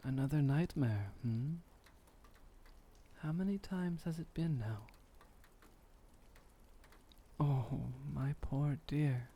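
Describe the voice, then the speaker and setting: A man playing a gentle, protective vampire boyfriend in an ASMR roleplay, speaking softly and soothingly in old-fashioned formal phrases. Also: on the high side, lively intonation, takes it slowly, breathy edge, heavily acted. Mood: concerned, tender. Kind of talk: ASMR roleplay, intimate comforting monologue.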